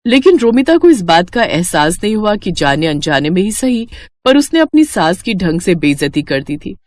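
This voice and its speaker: A woman's voice: fairly low pitch, sing-song, moderate pace, slight background noise. A woman with an Indian accent narrating a scripted moral story as a storyteller.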